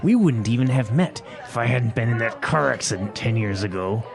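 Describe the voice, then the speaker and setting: A man's voice: mid-range voice, expressive, brisk, creaky. A man playing a wistful man musing on the past. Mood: reflective. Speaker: dialogue voice actor. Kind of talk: scripted dialogue for learners. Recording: music and crowd noise behind.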